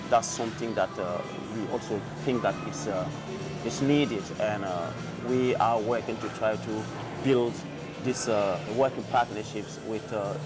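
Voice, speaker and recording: A man's voice: lowish voice, a little slow. A man who organizes youth football, speaking hesitantly with many fillers in an interview. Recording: interview with music underneath.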